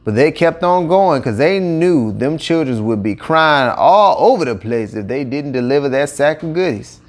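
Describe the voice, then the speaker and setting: A man tells a children's tale in a folksy Cajun accent. His voice sits fairly high for a man and moves around a lot in pitch, with a colloquial, animated storyteller's delivery. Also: normal speed. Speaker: audiobook narrator.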